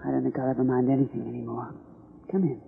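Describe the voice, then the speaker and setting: A woman's voice: rumbling low, little pitch movement, fast, creaky, husky, heavily acted. A woman playing a drained, evasive widow hiding her guilt, weary and guarded, in 1950s radio melodrama style. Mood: numb, drained. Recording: muffled 1950s radio recording.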